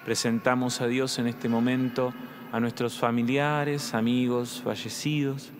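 A young man with an Argentine accent, a priest reading prayers and giving communion instructions in measured tones. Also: medium pitch, little pitch movement. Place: large church. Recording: long church reverb.

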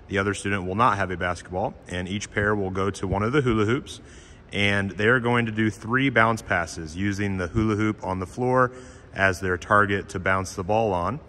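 A man's voice: sits low, sharp tone, big-room sound. A man who teaches physical education, hosting his channel and explaining a class drill in a friendly, plain way with frequent filler sounds. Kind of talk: instructional voice-over explaining a drill.

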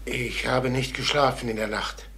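An actor playing a nervous, defensive older travelling salesman who confesses, in a halting, brooding radio crime drama manner. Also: medium pitch, fairly even intonation, gravelly, sharp tone, a little hiss or hum. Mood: brooding, haunted.

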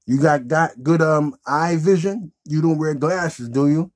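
A man hosts a puppet show in a casual, improvised way. His voice is husky and unpolished, that of someone in his thirties. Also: on the high side, measured pace, darkish tone. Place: small indoor room with green backdrop.

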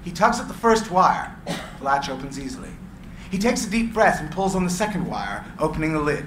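A man's voice: fairly high pitch, big pitch swings, a bit bright. A man narrating stage directions at a live screenplay reading. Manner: brisk, matter-of-fact. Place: gallery room with seated audience. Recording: echoey room, clear hiss.

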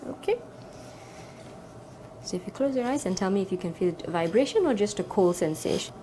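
A woman's voice: medium pitch, animated, brisk, mellow. A young woman working as a clinician, explaining each step of an exam to a patient and asking simple questions. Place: small clinical exam room. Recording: noisy room recording.

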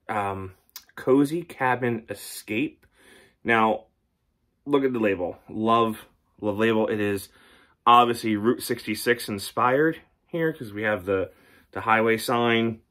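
A man's voice: fairly low pitch, unhurried, fairly bright tone. A man in his thirties, a candle reviewer, chatting casually to camera at home, rambling with many ums and restarts. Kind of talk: casual product review vlog. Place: home room with record shelves.

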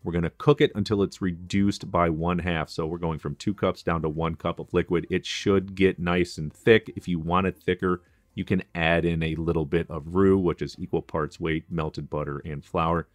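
Chef narrating a recipe, low male voice, dry and close, clear practical instruction. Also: steady tone, moderate pace, no echo. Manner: instructive, friendly, practical kitchen tips. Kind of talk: cooking tutorial voice-over.

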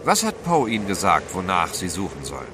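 An actor playing a composed, inquisitive gentleman adventurer, polite but direct, in a radio drama. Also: low-pitched, huge pitch swings, a little fast, background noise. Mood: probing, polite.

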